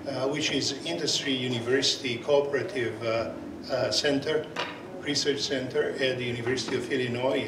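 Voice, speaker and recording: Serbian-accented man chairing in an echoey hall. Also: medium pitch. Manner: halting, many fillers and restarts. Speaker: session chair and conference speaker. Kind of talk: conference presentation. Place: conference hall. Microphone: portable microphone. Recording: echoey hall, from far off, noisy.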